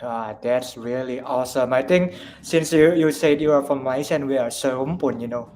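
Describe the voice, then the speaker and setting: A man hosting a panel, asking questions in a halting way with fillers. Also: medium pitch, a little mellow, faint music behind. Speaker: host, interviewer. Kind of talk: casual interview questions.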